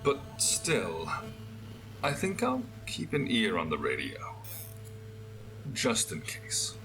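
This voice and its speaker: Brooding survivor type, super dragged out and theatrical, lowish voice, radio drama. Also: male voice, wildly swooping pitch, creaky, over music, exaggerated voice. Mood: brooding, scheming. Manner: deliberate, theatrical, drawn-out. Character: slow, brooding male survivor musing on radio. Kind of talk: audio drama monologue.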